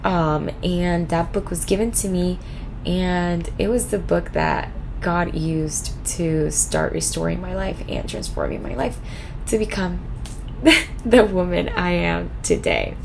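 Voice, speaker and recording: A woman, casually answering viewer questions in a vlog, chatty and relaxed with lots of fillers. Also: fairly low pitch, takes it slowly, gravelly, noisy background. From Puerto Rico. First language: Spanish. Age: 20s. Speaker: vlogger.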